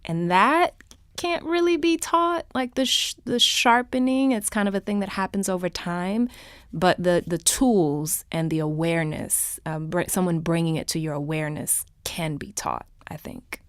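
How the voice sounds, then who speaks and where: Lowish-voiced woman, close dry sound. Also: normal speed, no echo. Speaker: actor, panel guest. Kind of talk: reflective interview chat.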